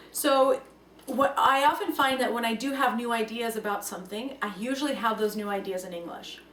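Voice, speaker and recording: A young woman whose first language is Russian speaks to the camera in an earnest, reflective way, stressing her key points with some life. Her voice sits a little high. The room is echoey, she sits some way from the mic, and a faint hum runs beneath her. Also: recorded from a distance. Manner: earnest, reflective, lively emphasis. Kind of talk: personal talk to camera.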